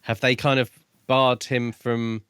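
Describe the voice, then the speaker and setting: A man's voice: mid-range voice, little pitch movement, takes it slowly, fairly bright tone, smooth, dry recording, studio quality. A man co-hosting a podcast, talking casually about books. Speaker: podcast co-host. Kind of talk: casual book discussion.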